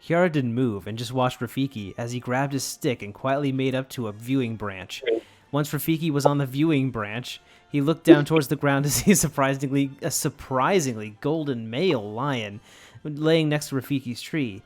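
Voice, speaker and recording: Young guy reading out goofy fanfic as narrator, average pitch, faint music. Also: normal speed. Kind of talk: casual comedic story read-aloud.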